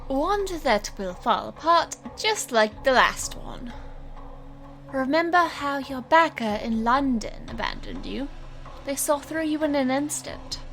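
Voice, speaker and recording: A woman's voice: high-pitched, animated, a little slow, a bit bright, slight background noise, exaggerated voice. A woman playing a defiant, sardonic young stage magician, telling her story in a dry, controlled way in an audio drama. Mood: defiant, scornful.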